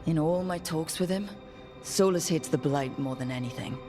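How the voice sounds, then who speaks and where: A woman playing an earnest, resolute adventurer in a fantasy video game, speaking deliberately. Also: sits low, mellow, soft background music, natural voice. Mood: serious.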